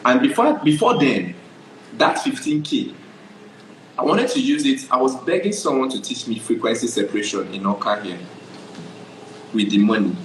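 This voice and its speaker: A man's voice: mid-range voice, normal speed, long pauses, a little hiss or hum. Nigerian man telling his story, echoey. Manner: animated storytelling, often asks "do you understand?". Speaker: guest photographer. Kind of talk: casual interview.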